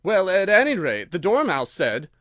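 A man's voice: high voice, wildly swooping pitch, a bit bright, no room sound, clean studio sound, pitched above the performer's own voice, exaggerated voice. Man doing a trembling, nervous, miserable storybook hatter. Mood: miserable, anxious. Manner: anxious, halting, apologetic. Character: poor, nervous, miserable hatter pleading before royalty. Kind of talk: storybook character reading.

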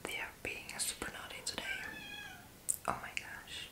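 A young woman in her twenties talks entirely in a soft, breathy whisper, close to the microphone. Her delivery is playful and chatty, as if joking with a friend during a relaxing ASMR session in a small bedroom. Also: normal speed. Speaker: ASMR creator. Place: small bedroom lit with fairy lights.

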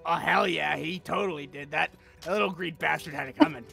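A man's voice: on the high side, brisk, gravelly, pushed and bright, breathy edge, exaggerated voice. A man voicing a chatty, crude robot sidekick in an improvised comedy game fan dub, rambling and matter-of-fact. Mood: amused, brash.

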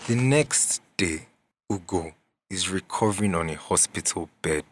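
A man's voice: low voice, expressive, unhurried, a little hiss or hum. A man reading scripted scene-setting narration as the narrator of a drama.

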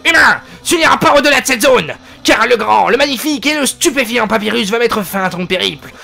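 A man voicing a lanky, boastful male skeleton who shouts and stammers with excitement while reading game dialogue aloud. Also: very high-pitched, a little fast, vocal fry, pitched above the performer's own voice, full character voice. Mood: grandiose.

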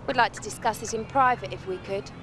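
A woman's voice: medium pitch, quick pace, noisy background, natural voice. A woman playing a cold, commanding English gangland killer in a video game, full of contempt. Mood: curt. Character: cold, bossy female London gangland killer. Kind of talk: video game cutscene acting. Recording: loud music over the dialogue.

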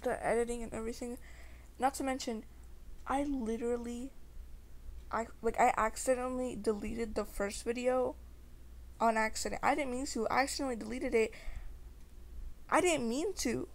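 A woman's voice: on the high side, steady tone, moderate pace, pauses often. A young woman chatting casually to her viewers in a slangy, rambling way. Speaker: amateur ASMR creator.

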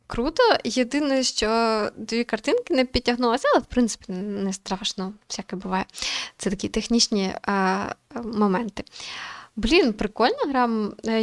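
A woman's voice: mid-range voice, no echo. A young adult woman giving casual let's-play commentary as a gaming host, thinking aloud in an informal, hesitant way.

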